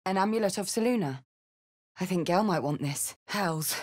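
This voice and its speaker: Brash female warrior adventurer, low register, blunt wry delivery, game remarks. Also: lively intonation, moderate pace, a little mellow. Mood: determined, wry. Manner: blunt, wry, casually sweary. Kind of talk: video game character barks and remarks.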